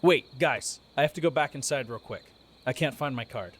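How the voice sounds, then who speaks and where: A man's voice: mid-range voice, moderate pace, husky, natural voice. A man playing a nonchalant, joking college student in an amateur radio play, speaking dismissively. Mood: casual.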